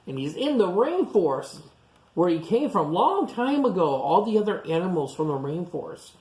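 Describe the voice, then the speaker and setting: A woman reading a picture book aloud to children, chatting to them in animated asides and questions. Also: on the low side, normal speed, slight background noise, rough recording. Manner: animated asides to young listeners, asking questions. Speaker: storytime reader.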